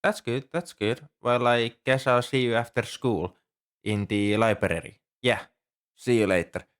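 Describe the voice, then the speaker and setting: A man's voice: mid-range voice, unhurried, clean tone, natural voice. A man performing an ASMR roleplay as a shy, earnest young student librarian, speaking in a hesitant, helpful and apologetic way. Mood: polite, shy. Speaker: amateur audio roleplay performer. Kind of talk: ASMR roleplay, scripted one-sided dialogue.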